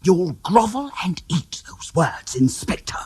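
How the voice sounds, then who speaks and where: Pompous clairvoyant: breathy, theatrical, wildly swooping delivery, unhurried. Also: male voice, sits high, very animated, no echo, full character voice. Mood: theatrical menace. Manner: grand and self-important, sliding into hushed menace. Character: smug theatrical male clairvoyant, middle-aged. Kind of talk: radio drama acting.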